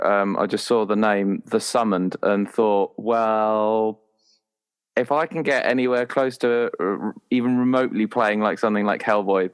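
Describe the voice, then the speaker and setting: A man's voice: fairly low pitch, smooth. A man in casual, jokey and hesitant conversation as a podcast guest, recorded in a cupboard. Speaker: podcast guest, role-player. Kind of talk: casual chat. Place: small cupboard used for remote recording.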